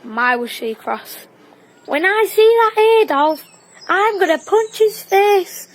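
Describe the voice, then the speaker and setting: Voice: very high voice, expressive, slow pace, full character voice. An actor playing a cocky, teasing wartime boy in an audio drama, talking in clipped, colloquial phrases. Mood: boastful. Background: birdsong.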